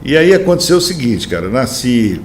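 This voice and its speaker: A man's voice: medium pitch, big pitch swings, fairly quick, slight background noise. A Brazilian-born man in his seventies, a poet and interview guest, talking in a reflective, rambling way and often tagging "né?". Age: 70s. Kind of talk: casual interview chat.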